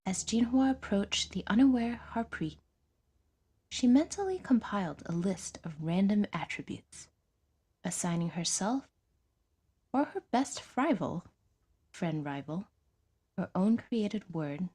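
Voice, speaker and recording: Woman narrating a story slow and measured, mellow husky voice. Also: medium pitch, animated. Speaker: audiodrama narrator. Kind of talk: scripted fiction narration.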